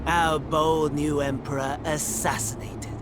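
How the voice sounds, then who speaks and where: A man as a high-voiced theatrical gleeful villain. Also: little pitch movement, noisy background, close to an everyday voice. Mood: smug exposition. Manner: mannered, sardonic, drawn-out courtly delivery. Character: theatrical, gleeful, mocking male villain playing courteous host. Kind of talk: video game cutscene acting.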